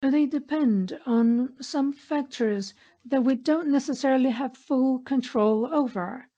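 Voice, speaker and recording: Dull-sounding, higher-voiced woman interpreting. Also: takes it slowly, mellow. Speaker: interpreter voicing conference call speakers. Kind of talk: simultaneous interpretation of a corporate earnings call. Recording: dull, lo-fi conference line audio.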